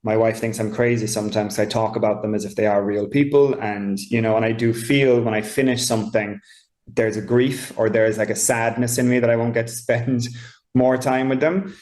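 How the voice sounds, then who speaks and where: A man's voice: fairly low pitch, steady tone, normal speed, a little mellow. Reflective Irish man, roomy echo. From Ireland. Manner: reflective, searching for words, expansive. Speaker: novelist guest. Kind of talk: conversational author interview.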